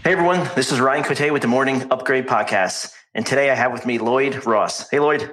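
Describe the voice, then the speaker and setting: A man hosting a podcast, asking questions in an upbeat, brisk way. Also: medium pitch, a bit bright, poor audio. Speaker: podcast host. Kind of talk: casual interview.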